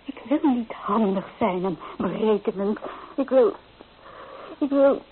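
An actress playing a restless, romantic young bride-to-be in a Dutch radio drama, speaking with emotional, poetic intensity. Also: female voice, fairly high pitch, slow pace, phone-quality sound. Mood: defiant, agitated. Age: young adult. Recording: thin, band-limited old radio recording, faint noise.

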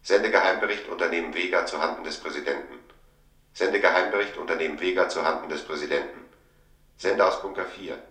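A man's voice: low voice, fairly bright tone. A man playing a stern, impersonal announcer in a 1950s radio play, in clipped, formal phrases. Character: stern, impersonal government bunker announcer sending secret reports. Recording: echoey vintage 1950s radio recording.

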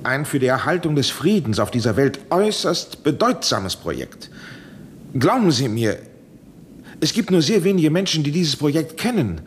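An actor playing an anxious, evasive professor in a 1970s German radio play, hesitant and hedging, with an urgent pleading manner. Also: male voice, on the high side, sing-song, moderate pace, slight background noise. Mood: hesitant, explaining. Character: evasive, anxious male professor guarding a secret.

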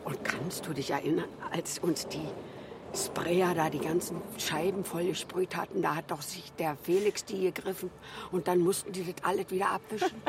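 An actress playing a fond, chatty elderly widow who rambles through memories in a naturalistic radio drama. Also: female voice, on the low side, fairly even intonation, normal speed, background noise, natural voice. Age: older. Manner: rambling, reminiscing, with colloquial tag questions. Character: chatty, fond old Berlin widow, former flower seller.

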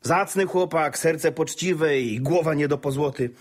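An actor playing a genial, worldly gentleman landowner, a reformed rake, with a wry and courteous manner in a radio drama. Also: a little high, a little slow. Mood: curious, friendly.

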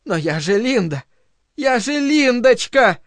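Man putting on a high, pleading aging woman. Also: lively intonation, takes it slowly, no echo, exaggerated voice. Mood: desperate. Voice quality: trembling. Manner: pleading, coquettish and clinging. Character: aging, desperate, tearful woman pleading with her former lover.

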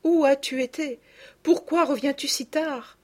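A woman voicing a noble mother in an audiobook, emotional, gentle and firm. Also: sits high, a little slow, pitched above the performer's own voice. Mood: distraught.

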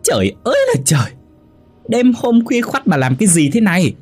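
A man's voice: high voice, sing-song, moderate pace, slightly rough, soft background music, pitched above the performer's own voice, full character voice. A man voicing a spiteful, domineering middle-aged wife in a narrated story, shrieking and scornful. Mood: shrieking anger.

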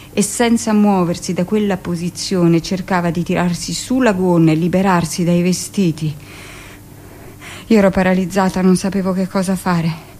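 An actress playing a middle-aged village-born midwife in an intimate, expressive theatrical monologue, with dramatic pauses. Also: female voice, fairly low pitch, slight background noise, natural voice. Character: 45-year-old village-born midwife, recounting childhood, emotional, earnest.

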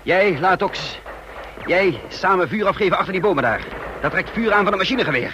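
An actor playing a squad commander in a radio play, barking urgent orders during a firefight. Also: male voice, high voice, animated, fairly quick, dull audio. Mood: urgent, commanding. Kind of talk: Dutch radio play acting. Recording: gunfire and battle noise over voice.

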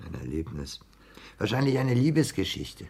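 Ein Mann spielt in einem Hörspiel der sechziger Jahre einen kränklichen, empfindlichen, pessimistischen Friseurgehilfen, der gekränkt und abwehrend spricht. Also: male voice, mid-range voice, very animated, moderate pace, darkish tone, slightly rough. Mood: sullen. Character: sickly, touchy, pessimistic barber's assistant. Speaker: radio play actor. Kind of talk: 1960s German radio play acting.

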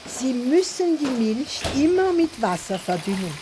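A woman's voice: high voice, big pitch swings, unhurried, noisy background. An actress playing a chatty, gossipy farm woman in a radio play, with a French-tinged Alsatian accent in her German and French phrases slipped in. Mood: gossipy, confiding. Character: gossipy village farm woman, plain-spoken neighbour in the cowshed.

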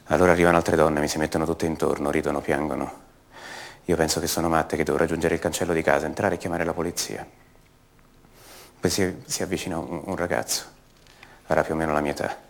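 A man acting a bewildered young man shaken about who he is, confiding haltingly and repeating words in a radio theatre monologue. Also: rumbling low, fairly even intonation, creaky. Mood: tense, confiding.

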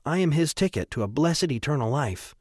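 A man's voice: a little high, lively intonation, a little fast, a little mellow, no room sound, clean studio sound, pitched above the performer's own voice. A man voicing a wry, self-assured priest in an audiobook, with a knowing, teasing manner. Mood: wry, confiding. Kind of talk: audiobook character acting.

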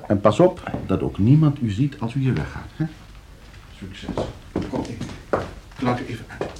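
Male actor as shifty professor, polite, scheming, very animated intonation, medium pitch, radio play. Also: moderate pace, noisy background, natural voice. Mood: brisk, conspiratorial. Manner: polite, precise when instructing, stammering when questioned. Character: scheming, flustered professor plotting against his uncle for inheritance.

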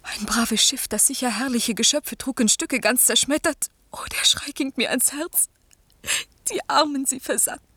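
A compassionate young daughter in a classic radio drama. Her voice is fairly high and very breathy, earnest and lyrical, recorded close and dry. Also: moderate pace, sharp tone, no room sound, exaggerated voice. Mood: anguished, pleading. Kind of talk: classic German radio play acting.

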